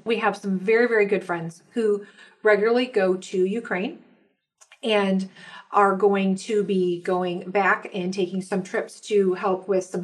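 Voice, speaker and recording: A middle-aged woman talking conversationally as a podcast guest, giving a personal account. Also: mid-range voice. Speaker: podcast guest, professional organizer.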